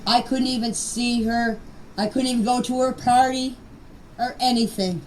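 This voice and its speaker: A woman's voice: fairly high pitch, fairly even intonation, normal speed, distant mic, slight background noise, poor audio. An older woman speaking informally in voice messages, plain-spoken, earnest and emphatic. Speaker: grandmother of missing children. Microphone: phone voice message.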